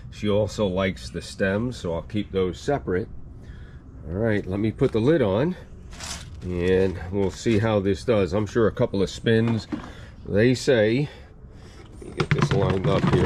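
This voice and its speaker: Low-voiced man, folksy, noisy recording. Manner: folksy, chatty, talking while working. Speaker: gardening vlogger demonstrating equipment. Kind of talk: casual hands-on product review talk to viewers.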